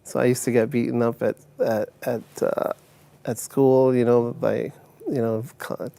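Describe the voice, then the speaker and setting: Older guy in his 60s from uganda via england, telling his life story, mellow voice, kinda halting. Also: medium pitch, flat delivery, creaky. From Uganda, later England. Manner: halting, repeats words as he recounts. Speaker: testimony guest. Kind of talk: personal testimony in an interview.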